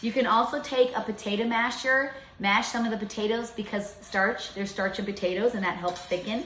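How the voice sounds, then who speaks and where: A woman's voice: fairly high pitch, steady tone, fairly quick, fairly bright tone, echoey room, slight background noise. A woman in her fifties giving a chatty, step-by-step home cooking demonstration in her kitchen. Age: 50s.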